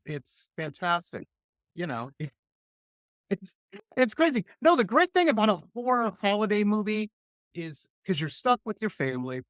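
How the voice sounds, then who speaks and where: A middle-aged man hosting a radio interview show, chatting with an enthusiastic, animated manner. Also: a little high, dry recording, clean studio sound. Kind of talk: casual interview chat.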